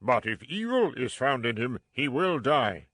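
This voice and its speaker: An actor playing a stern young king passing judgment in a dramatized scripture reading, measured and authoritative. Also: mid-range voice, big pitch swings, fairly bright tone, no echo, studio quality.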